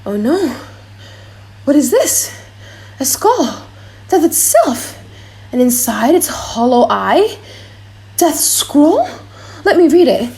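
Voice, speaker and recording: A proud prince in love, acted by a woman, speaks in a fairly high voice. He reasons grandly with himself, in the manner of a dramatic audiobook play. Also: big pitch swings, takes it slowly, long pauses, warm tone, heavily acted. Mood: horrified. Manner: grandiose, self-deliberating. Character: proud, lovestruck foreign prince wooing an heiress.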